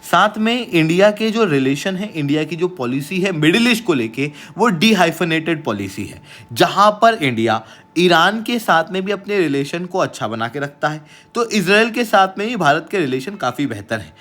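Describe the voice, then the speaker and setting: A man's voice: on the high side, sing-song, normal speed, fairly bright tone. An Indian man presenting as an online teacher, explaining current affairs emphatically and mixing in English phrases.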